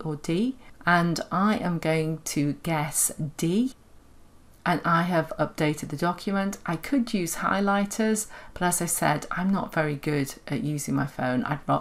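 A woman presents a software tutorial in a low voice. She explains each step as an instructional voice-over. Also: gravelly.

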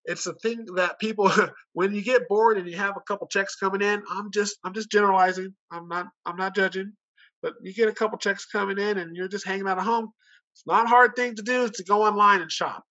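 Male company director, informal online sales presentation, forceful bright voice, persuasive, conversational, dull video-call audio. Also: sits high, steady tone, brisk. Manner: conversational, persuasive, asks rhetorical questions.

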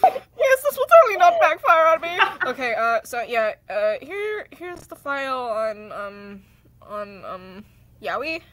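A woman doing a halting, giggly, irreverent improvised cartoon fandub. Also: high-pitched, very animated, fairly bright tone. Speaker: amateur fandub voice performer. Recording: noisy home recording.

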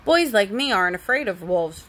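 A woman voicing a bold, quick-thinking young boy in a storybook, confidently calling out. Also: mid-range voice, animated, fairly bright tone.

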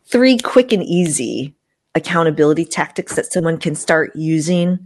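Low-pitched woman podcaster, close and dry. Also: animated, moderate pace, a little mellow, dry recording. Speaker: podcast host. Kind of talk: casual podcast conversation. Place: small room at home, video call. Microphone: close desktop mic with furry windscreen.

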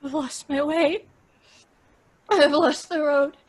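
High-voiced, frightened, fragile young-sounding heroine. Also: female voice, fairly even intonation. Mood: hysterical despair. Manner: halting, pleading, repetitive. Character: frightened, fragile woman slipping into hysteria. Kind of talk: old-time radio horror drama acting.

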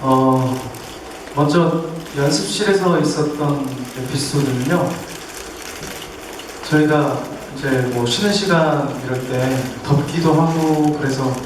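A man's voice: on the high side, slow pace. A young man, a stage actor, telling an anecdote at a press call. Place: theatre stage, recorded from the audience. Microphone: handheld mic through PA, distant camera. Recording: very echoey, distant, noisy.

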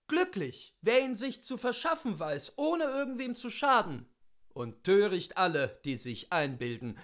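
Guy reading old literature out loud, highish voice, super animated and a bit theatrical. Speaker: reader of literature. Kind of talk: expressive literary audiobook reading.

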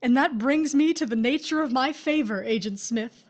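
Woman as vengeful widow, shrewd, composed, slightly high voice, horror audio drama. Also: normal speed. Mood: smugly assured. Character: shrewd vengeful widow, calmly taking charge of her husband.

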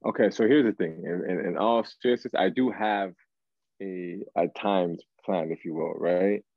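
A man's voice: low voice, normal speed, no echo. A man, chatting casually as an interview guest, slangy and often checking that he is followed. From Canada, raised in Toronto. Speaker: guest, R&B singer. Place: indoor room. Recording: dull, lo-fi video call.

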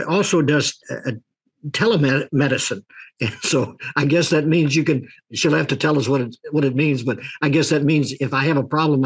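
Older man, host, husky, rambling folksy delivery, video call. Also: fairly high pitch. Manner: rambling, folksy, with many restarts and fillers. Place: office with a leather chair.

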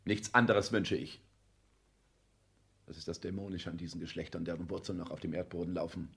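A man's voice: sits low, steady tone, a little fast, vocal fry, fairly bright tone. An actor playing a cold, calculating, arrogant businessman in a 1960s German radio play, declaiming pompously with stage diction and clipped commands. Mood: self-satisfied.

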